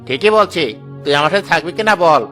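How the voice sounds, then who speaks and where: A man's voice: fairly high pitch, a little fast, bright, forceful tone, faint music behind. A man playing a greedy, scheming village bully in a cartoon, with a taunting, domineering manner. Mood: angry, scheming. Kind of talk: Bengali cartoon story dialogue.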